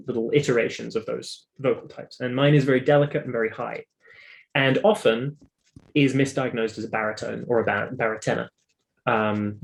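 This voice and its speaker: A man's voice: medium pitch, vocal fry, poor audio. A British man talking casually in an interview, explaining his own story in a talkative, emphatic way. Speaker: interview guest, singer and vocal coach.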